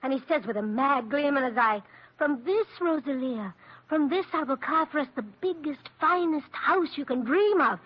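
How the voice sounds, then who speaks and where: A woman's voice: high-pitched, lively intonation, normal speed. An actress playing a passionate, scornful young Italian-American woman spurned in love, in theatrical 1940s radio drama style. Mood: agitated, mocking. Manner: theatrical, emotional, repeats words for emphasis. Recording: dull old radio drama recording.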